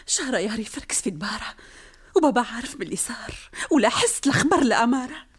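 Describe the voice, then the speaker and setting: An actress with a Tunisian accent playing a vizier's young daughter, lovesick and desperate, often speaking in breathy whispers in a radio drama. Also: female voice, on the high side, animated, quick pace. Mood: fearful.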